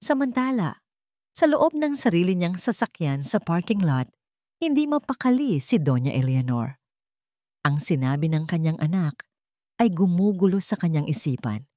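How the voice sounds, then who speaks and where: A woman narrates a romance drama as a storyteller, reading from a script in a dramatic style. Her voice sits a little low, and the recording is close and dry, with very little room sound. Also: big pitch swings, moderate pace, dark tone, no room sound.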